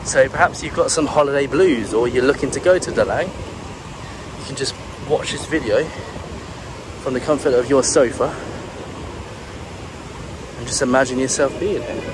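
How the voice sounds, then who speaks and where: A British man from England giving monotone, relaxed commentary while walking a beach outdoors, filming on a handheld camera. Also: mid-range voice, normal speed, long pauses. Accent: British English. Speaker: travel vlogger. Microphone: handheld camera carried while walking. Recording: clear wind and surf noise. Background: ocean waves and breeze.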